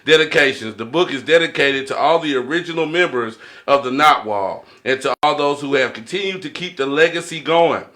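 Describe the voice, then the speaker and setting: Bright, slangy male podcast host. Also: a little high, a little fast, hardly any pauses. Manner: slangy, conversational, frequent check-in catchphrases. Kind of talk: casual podcast chat.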